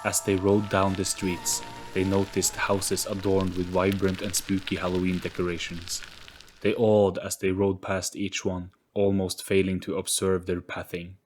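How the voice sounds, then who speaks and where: A man reading a scripted spooky storybook in a deliberate, theatrical storytelling manner. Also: deep voice, completely flat delivery. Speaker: narrator. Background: music.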